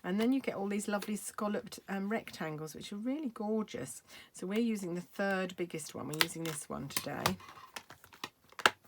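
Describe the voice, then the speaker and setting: A middle-aged woman with a slightly croaky voice, chatting casually as she demonstrates card making at her craft table. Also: medium pitch, animated, normal speed, background noise. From United Kingdom. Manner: chatty, thinking aloud while working.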